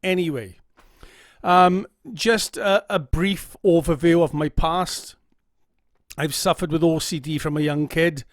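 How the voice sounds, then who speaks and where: Welsh man, middle-aged, candid personal story, slightly high voice, dry room. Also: unhurried, no echo. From Wales. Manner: earnest, candid, repeats himself, checks in with "okay?". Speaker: vlogger. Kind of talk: personal story told to camera. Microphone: camera mic.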